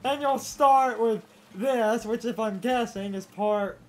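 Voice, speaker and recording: A young woman co-hosting a let's play with casual, animated gaming commentary. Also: a little high, takes it slowly. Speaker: let's play co-host and editor. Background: video game music.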